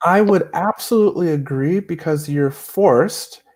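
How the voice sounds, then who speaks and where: A man telling a lively, self-mocking story on a video call, acting out scenes, speaking through an earphone mic in a small room. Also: on the high side, unhurried. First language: not Chinese. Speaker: Chinese teacher, guest.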